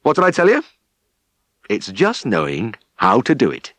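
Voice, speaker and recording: A man's voice: on the low side, huge pitch swings, normal speed, a bit bright, no room sound, full character voice. A man voicing a good-natured, plain-spoken working farmer in an audiobook. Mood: hearty encouragement.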